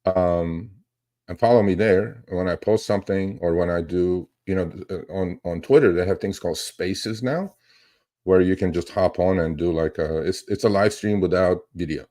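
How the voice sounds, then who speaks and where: This is a man with a deep, low-set voice, speaking as the host of a casual live stream. He talks without a script in a rambling but emphatic way, like a trader teaching his audience. He regularly tags his points with little check-ins to make sure people follow. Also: normal speed, dark tone. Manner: rambling, emphatic, often checks with "okay?" and "right?". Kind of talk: casual unscripted livestream talk.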